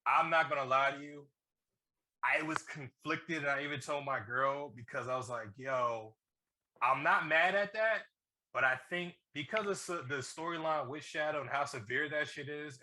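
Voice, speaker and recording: A man co-hosting a casual movie review, excitable and rambling, with plenty of slang, swearing and repetition. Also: medium pitch, rough recording. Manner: excitable, rambling, repeats himself, swears freely. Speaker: podcast co-host reviewing a movie.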